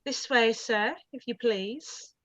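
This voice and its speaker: A woman's voice: on the high side, slow pace, lo-fi sound. A woman playing a chatty old housekeeper. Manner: chatty, warm, old-fashioned servant speech. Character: elderly housekeeper, proud of her master. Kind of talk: live-read radio play.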